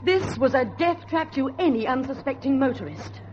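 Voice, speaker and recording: A woman's voice: sits high, moderate pace, close to an everyday voice. A woman playing an anxious, determined young niece in a suspenseful radio drama, speaking and narrating in an earnest, literary way. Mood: grave, suspenseful. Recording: muffled old radio recording, faint noise.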